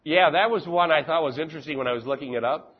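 A man's voice: on the high side, slight background noise. Give me a chatty man teaching a Bible study, on a slightly dull recording. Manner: chatty, reads scripture slowly and deliberately, laughs easily. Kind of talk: informal Bible class teaching. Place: classroom with fans running.

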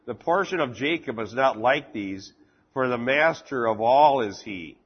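A man's voice: medium pitch, animated, husky. Give me a chatty man teaching a Bible study, on a slightly dull recording. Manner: chatty, reads scripture slowly and deliberately, laughs easily. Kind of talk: informal Bible class teaching. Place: classroom with fans running.

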